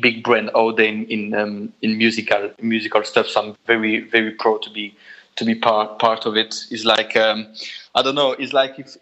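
Belgian guy, french accent, bright voice, lots of restarts, kinda muffled call audio. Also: medium pitch. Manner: halting, many restarts, laughs often. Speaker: guitarist, guest. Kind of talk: casual interview chat.

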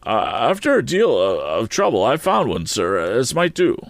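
A man's voice: mid-range voice, huge pitch swings, moderate pace, no room sound, pitched above the performer's own voice. Bumbling man with a head cold in a storybook reading, put on by a man, hesitant, dry sound. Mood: nervous. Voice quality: stuffy, cold-ridden voice. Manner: hesitant, stammering.

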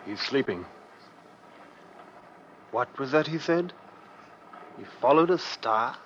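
An actor playing a concerned, deferential sailor, wondering in tone, in an old radio drama. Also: male voice, medium pitch, expressive, takes it slowly, fairly bright tone. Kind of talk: 1950s radio drama acting. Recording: muffled old radio recording, faint noise.

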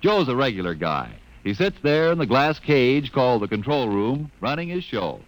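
A man's voice: mid-range voice, sing-song, moderate pace, low-quality recording. A man announcing in the style of a 1950s radio commercial. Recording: vintage radio broadcast.

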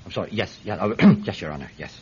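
An actor playing an eager Irish peat seller testifying in court in a classic radio drama, hesitant, stammering and forever digressing. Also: male voice, low-pitched, expressive, quick pace, a little mellow, husky, heavily acted. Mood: flustered, eager. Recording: muffled, hissy vintage radio recording.

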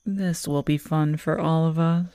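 A woman's voice: low voice, fairly even intonation, mellow, no echo. A woman playing a predatory, teasing werewolf girlfriend in an intimate, half-whispered ASMR roleplay, close to the microphone. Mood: possessive, coaxing.

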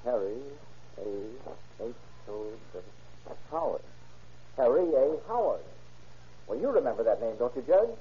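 An actor playing an eager, matter-of-fact police detective in a radio crime drama. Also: male voice, mid-range voice, sing-song, measured pace, long pauses. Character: eager male police detective, middle-aged. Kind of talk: 1930s radio acting. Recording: dull vintage radio recording with faint hiss.

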